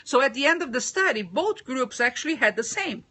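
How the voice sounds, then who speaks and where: A woman's voice: sits high, expressive, a little fast, pushed and bright. A woman from Brazil with a Brazilian Portuguese accent, giving business communication tips in a casual live stream, thoughtful with frequent restarts. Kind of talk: casual livestream talk.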